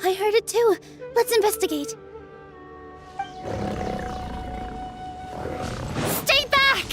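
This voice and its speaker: A woman voicing a caring, resolute young dancer in a video game, with a gentle, earnest and expressive delivery. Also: extremely high pitch, moderate pace, over music. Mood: urgent. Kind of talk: English video game voice acting.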